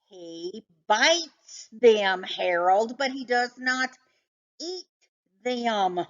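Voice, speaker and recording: A woman's voice: on the high side, lively intonation, drawn-out words, dull audio, pitched above the performer's own voice. Woman voicing a dramatic, bossy male storybook cat. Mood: smug, dramatic. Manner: dramatic, condescending, emphatic. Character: excitable male house cat, bossy, suspicious know-it-all.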